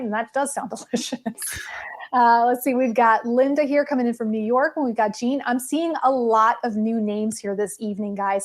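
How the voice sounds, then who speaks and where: A woman with a fairly high voice hosts a livestream. She talks in a chatty, informal way and addresses her viewers directly as a show host would. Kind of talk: casual livestream chat with viewers.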